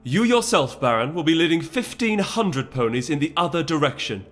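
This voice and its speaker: A man's voice: on the high side, big pitch swings, moderate pace, fairly bright tone, soft background music, pitched above the performer's own voice. A man voicing a proud, resolute noble mare who speaks formally and imperiously in a dramatic fantasy audiobook. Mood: commanding.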